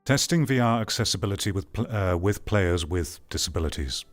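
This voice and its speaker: A man's voice: sits low, expressive, a little fast. A man giving a blunt, emphatic opinion monologue as an online host, repeating phrases for stress. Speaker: host, online commentator and streamer.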